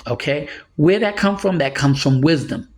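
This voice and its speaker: Man, 60s, slightly high voice, earnest and rambling advice-giver who chuckles now and then. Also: moderate pace, a little mellow. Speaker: motivational speaker. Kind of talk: casual advice monologue.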